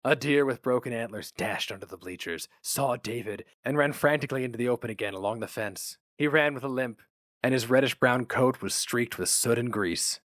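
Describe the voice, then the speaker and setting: A man's voice: medium pitch, a little fast, fairly bright tone, dry recording. A man giving a dramatic narrated reading of a short story in a scripted storytelling style. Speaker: story reader. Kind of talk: dramatic audiobook-style narration, acting out characters.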